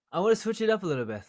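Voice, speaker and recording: A man's voice: high voice, darkish tone. A man hosting a podcast interview, easygoing and thinking aloud with plenty of fillers. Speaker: podcast host. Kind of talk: casual interview chat.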